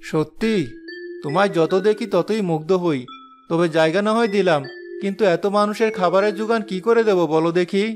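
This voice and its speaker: A man's voice: sits high, fairly quick, faint music behind, natural voice. A man voicing a kind young village headman. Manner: earnest, encouraging. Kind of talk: Bengali cartoon voice acting.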